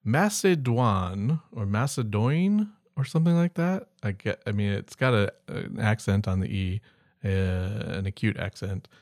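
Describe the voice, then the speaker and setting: A man's voice: fairly low pitch, a little slow, darkish tone, no room sound, studio quality. A man co-hosting a podcast in casual, humorous chat, reading out words and rambling into offhand asides. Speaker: podcast co-host.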